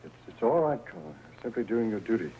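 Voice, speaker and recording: A wealthy, trusting husband who is deeply troubled, in 1950s crime-drama style. His voice is very low, with grave, formal, halting speech. The old recording is clearly noisy. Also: very animated, dark tone. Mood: shaken, weary.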